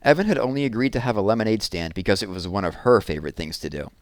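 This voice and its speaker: Low-voiced man reading a children's book. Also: rapid-fire, no echo. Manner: expressive storytelling. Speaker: read-aloud narrator. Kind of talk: children's book read-aloud.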